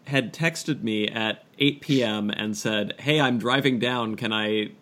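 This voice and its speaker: Halting, low-voiced man in casual chat. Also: a bit bright. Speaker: podcast co-host. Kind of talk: casual chat among friends.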